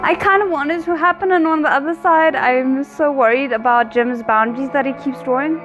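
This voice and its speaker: A woman giving casual, expressive reaction commentary on a series, thinking aloud in an informal way. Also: high voice, fairly quick, music in the background. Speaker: reaction video host.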